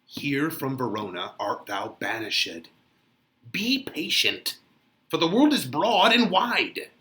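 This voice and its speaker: A man playing an older, patient but exasperated friar in a dramatic Shakespeare reading, measured, solemn and admonishing. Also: medium pitch, sing-song, bright, forceful tone, voice pushed down, full character voice.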